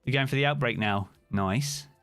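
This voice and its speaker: A man's voice: mid-range voice, normal speed, dry recording, soft background music. Chatty British man streaming live. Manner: chatty, relaxed, answers chat by name. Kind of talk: casual live-stream chat reading viewer comments.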